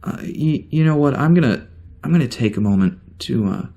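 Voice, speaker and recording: A man's voice: medium pitch, expressive, creaky, warm tone. A man playing an uneasy amnesiac spaceship security officer, rambling hesitantly through a spoken log in a science fiction audio drama. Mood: bewildered, unsettled. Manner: halting, hesitant, rambling, wry.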